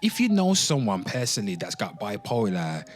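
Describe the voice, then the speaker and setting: A man hosting an online show, giving a dramatic reading with off-the-cuff commentary, drawn-out exclamations and asides to his listeners. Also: on the low side, huge pitch swings. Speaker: host of an online pop culture show. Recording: music playing under the voice. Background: music.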